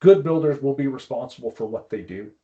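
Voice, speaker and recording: A man's voice: medium pitch, lively intonation, fairly quick, mellow. A man giving an informal explanatory presentation in a conversational way, on slightly dull lo-fi audio.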